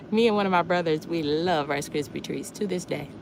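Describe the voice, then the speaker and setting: A woman in her forties chatting casually on a livestream while out walking, repeating herself warmly and calling her viewers y'all. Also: lowish voice, gravelly. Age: 40s. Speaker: livestream host. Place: outdoors on a walk, wind noise. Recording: faint wind noise.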